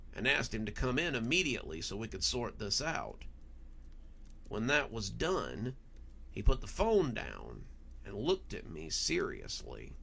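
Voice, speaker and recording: A man's voice: mid-range voice, gravelly, bright, forceful tone. A man narrating a scripted first-person horror story as its storyteller.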